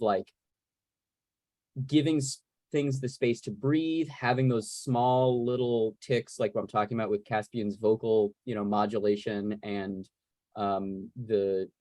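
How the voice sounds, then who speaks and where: A man moderating a casual Q&A on a video call, talking in a wordy, rambling, animated way. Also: lowish voice, no room sound. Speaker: moderator of a panel Q&A. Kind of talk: casual conversational interviewing.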